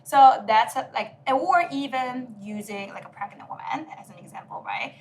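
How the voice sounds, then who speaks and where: A woman's voice: high voice, expressive, moderate pace, a little hiss or hum. Earnest woman explaining, lots of "like". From South Korea. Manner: earnest, explanatory, many "like" and "right?". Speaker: podcast guest. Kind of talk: casual conversation.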